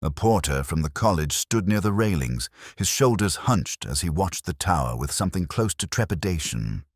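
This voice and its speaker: A man narrating a literary detective story in the first person, in a measured, brooding and formal manner. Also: low-pitched, expressive, no echo, clean studio sound.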